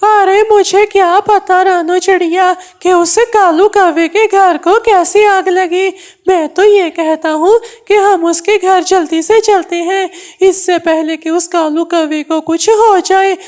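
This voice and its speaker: Male cartoon parrot, female performer: very high voice, unhurried, earnest, quick to scold. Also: steady tone, a little mellow. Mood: worried. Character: earnest, easily upset male parrot friend. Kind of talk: Hindi kids' cartoon dubbing.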